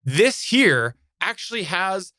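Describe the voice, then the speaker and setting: A man's voice: sits high, sing-song, slow, bright, forceful tone, no room sound, clean studio sound. A man from Canada giving a casual, unscripted product review as a podcast host, explaining in detail and stressing key words.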